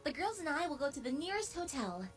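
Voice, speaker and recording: A woman's voice: sits high, big pitch swings, fast talker. A woman playing an earnest young female pony princess in a fantasy audio drama. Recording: played clip with loud music.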